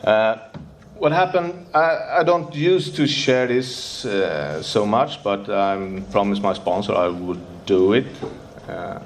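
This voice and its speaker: Nervous Swedish man, halting English. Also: on the low side, expressive, slight background noise. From Sweden. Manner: halting, searching for English words, many fillers and restarts. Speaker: speaker sharing recovery story. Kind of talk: recovery meeting share.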